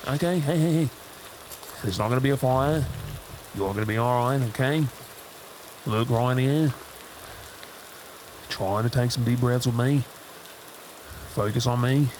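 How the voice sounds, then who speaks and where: A man acts out an Australian crash survivor in an audio roleplay, urgent and protective. His mid-pitched voice is breathless yet reassuring, with drawn-out pacing. A light wash of rain and vehicle noise sits behind him. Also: close to an everyday voice, poor audio. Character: injured, protective Australian crash survivor rescuing a trapped driver. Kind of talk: dramatic audio roleplay acting.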